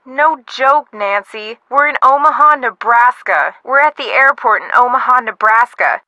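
An actress with an American accent playing an excitable, impatient, dramatic young woman in a video game. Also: on the high side, moderate pace, dry recording, highs cut off. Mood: complaining. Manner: gushing, exclamatory, dramatic.

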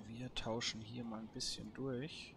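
A man commentates a video game at an unhurried, slow pace. His mid-pitched voice is colloquial and casual, as if chatting to himself and the characters. Clear noise and clicks sit behind the voice. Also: level tone. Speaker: gamer and host of a let's play series. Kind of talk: casual let's play commentary. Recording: noisy home recording with clicks.

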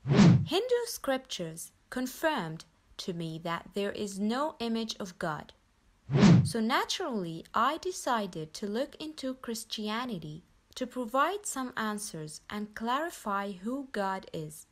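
A young woman from Nepal reading her personal story aloud in an earnest, measured way. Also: mid-range voice, sing-song. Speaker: convert telling her story. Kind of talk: scripted personal narration.

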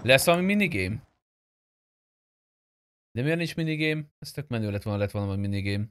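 A man's voice: mid-range voice, expressive, fairly bright tone, clear, resonant tone. A man chatting informally and asking questions while playing an online roleplay game. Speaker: gamer. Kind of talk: casual chat during online roleplay gameplay.

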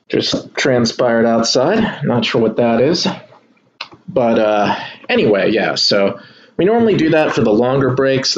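A man's voice: medium pitch, muffled recording, slight background noise. Chatty man hosting a card break. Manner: relaxed, chatty, addresses viewers as y'all. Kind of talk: casual live card break commentary. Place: indoor room at a kitchen table.

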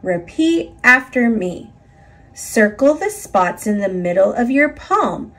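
A woman narrating a children's picture book in an expressive, instructive read-aloud style for young listeners. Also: on the high side, takes it slowly.

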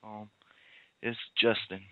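A man's voice: lowish voice, level tone, slow, no room sound. A man talking in a slangy, rambling way as a prank caller on a casual phone call. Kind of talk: casual phone call, feigning a tech problem. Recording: muffled narrowband phone call.

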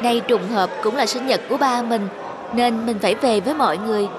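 A young woman playing a devoted, sentimental adult daughter in a cartoon drama, speaking in an expressive, earnest way. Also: a little high, a little fast, a crowd in the background, noisy background, lightly acted. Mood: apologetic. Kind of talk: animated cartoon drama acting.

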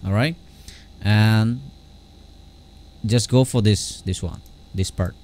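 A man giving an unscripted software tutorial in a halting, repetitive way. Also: on the low side. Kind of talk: unscripted screen-recorded tutorial.

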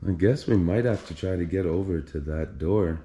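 A man filming himself as he explores a flooded basement in an abandoned house, talking in a low, hushed voice while crouching and climbing over clutter. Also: normal speed. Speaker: urban explorer filming a tour. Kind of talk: hushed exploration vlog narration.

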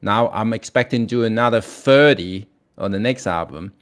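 A man's voice: lowish voice, moderate pace. A middle-aged man chatting as a guest over a video call, joking and chuckling. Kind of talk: casual video call chat.

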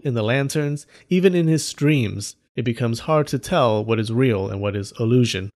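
A man's voice: medium pitch, a little mellow. A man narrating scripted stories as a host, in an informal, wry and dramatic way with casual asides. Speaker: storyteller and host of an animated folktale channel.

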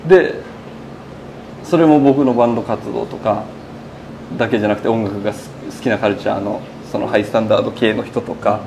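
A man's voice: fairly low pitch, steady tone, a little fast, noisy background. A man in his thirties talking casually as an interview guest, telling his story in long run-on sentences. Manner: chatty, recounting in long run-on sentences with quoted speech. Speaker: burger shop owner being interviewed. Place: indoors in a small burger shop. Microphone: lapel mic.

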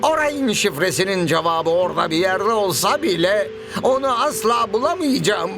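A man voicing an eccentric, lazy old scientist in a cartoon, excitable and theatrical, muttering and exclaiming. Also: sits high, moderate pace. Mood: frustrated. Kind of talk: animated cartoon dubbing. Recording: music behind the voice.

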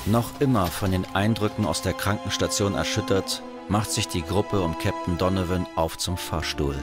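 A man's voice: low voice, moderate pace, close to an everyday voice. A man narrating a sci-fi audio drama in a solemn, scripted style. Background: loud music.

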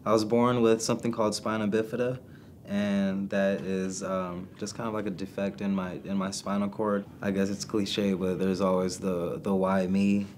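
Young man with a very deep flat voice. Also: moderate pace, slight background noise. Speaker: wheelchair basketball player.

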